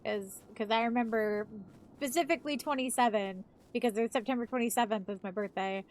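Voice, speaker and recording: A woman in her thirties with a fairly high voice talks in a loose, rambling way. She swears casually and keeps her tone chatty, like a streamer talking to friends. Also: brisk, slight background noise. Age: 30s. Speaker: live streamer. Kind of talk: casual chat.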